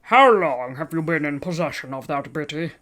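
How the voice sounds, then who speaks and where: A woman voicing a businesslike male police officer with a nasal voice, reading him flatly and officially. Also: deep voice, normal speed. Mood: stern. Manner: flat, official, issuing a citation.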